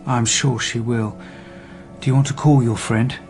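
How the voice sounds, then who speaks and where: An actor playing a calm, dry senior detective in a radio drama, husky and understated. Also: male voice, mid-range voice, unhurried, faint music behind, natural voice. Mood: calm, gently probing. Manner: understated, gently probing. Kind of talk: naturalistic radio drama acting.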